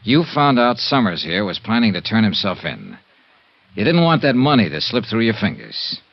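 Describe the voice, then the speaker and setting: A hard-boiled American investigator from an old radio drama, with a low voice that swings widely in pitch. He is clipped and wry, and the vintage recording is slightly muffled. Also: sing-song, moderate pace, creaky, no room sound, exaggerated voice. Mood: cynical. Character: tough, wry male American private investigator. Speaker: lead actor and first-person narrator. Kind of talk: 1950s American detective radio drama acting.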